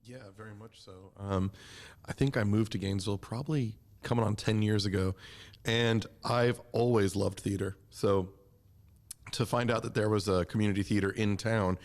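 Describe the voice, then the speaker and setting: A man's voice: fairly low pitch, steady tone, moderate pace. A man talking conversationally as an interview guest about his own experiences. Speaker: community theater member, podcast guest.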